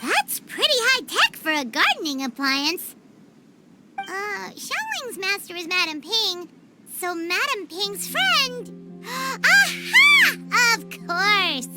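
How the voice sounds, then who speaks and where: A tiny, chatty fairy sidekick from an anime game. The voice is squeaky and childlike, extremely high and bouncing all over in pitch. Loud music runs underneath. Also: female voice, very animated, slow, full character voice. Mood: curious, explaining. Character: tiny, perky, chatty female fairy companion.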